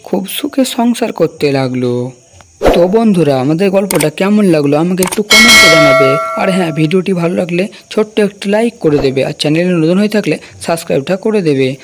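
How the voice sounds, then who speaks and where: A storyteller narrates a scripted folk tale in a medium-pitched voice. The delivery is that of a cartoon story narrator. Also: expressive, a little fast, noisy background.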